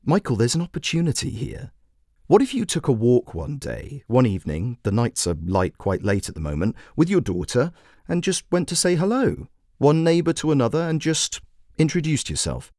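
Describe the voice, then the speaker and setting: A man voicing a brisk female radio phone-in host who questions and persuades in a measured way. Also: mid-range voice, big pitch swings, dark tone, no room sound, studio quality. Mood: persuasive. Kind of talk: talk radio presenting.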